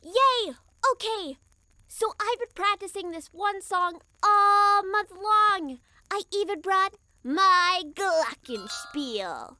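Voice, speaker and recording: A very high, bright little-girl voice for a whimsical camper in an audio drama. She is sweet and gushing, swooping widely in pitch while taking her time over the words, with music behind her. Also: expressive, slow, exaggerated voice. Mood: excited, eager. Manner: gushing, childlike enthusiasm. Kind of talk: light-hearted audio drama acting.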